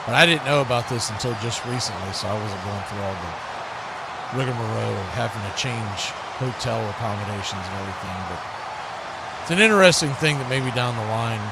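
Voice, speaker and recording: A man's voice: fairly low pitch, expressive, fairly quick, very noisy. An American man doing live football play-by-play and chat as the host of a stream, with a folksy, conversational manner.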